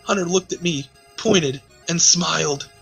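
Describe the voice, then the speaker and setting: A man's voice: on the high side, a little slow, vocal fry, a bit bright. A man streaming a read-aloud of amateur fiction in an amused, mockingly dramatic style with sarcastic asides. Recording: music playing behind the voice. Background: music.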